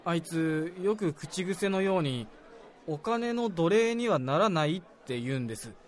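Man playing an earnest, higher-voiced young office worker. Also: animated, unhurried. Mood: earnest recounting. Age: young adult. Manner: deferential, confiding, apologetic. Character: earnest, self-doubting young male junior salaryman. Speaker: actor in a radio drama. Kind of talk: Japanese radio drama dialogue.

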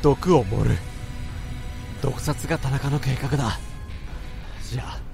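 A man's voice: medium pitch, a little slow, vocal fry, over music. An actor playing a tense office worker who narrates his own inner thoughts in a radio drama. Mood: brooding. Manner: tense, brooding, rising to urgent shouts. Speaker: narrator and protagonist.

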